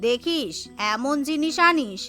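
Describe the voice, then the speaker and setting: Bossy middle-aged cartoon matriarch, female performer, scolding, high voice over music. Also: expressive, a little slow, bright, forceful tone, full character voice. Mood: commanding, stern. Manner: commanding, scolding. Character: imperious middle-aged Bengali mother-in-law, bossy matriarch. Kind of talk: cartoon character dialogue.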